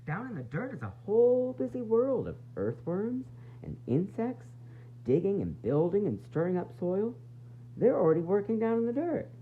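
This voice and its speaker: Very animated man reading a children's storybook. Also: high voice, moderate pace. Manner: dramatic, sing-song phrasing with varied emphasis. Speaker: teacher reading a picture book aloud. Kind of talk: expressive children's storybook read-aloud. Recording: dull lo-fi sound, faint noise and hum.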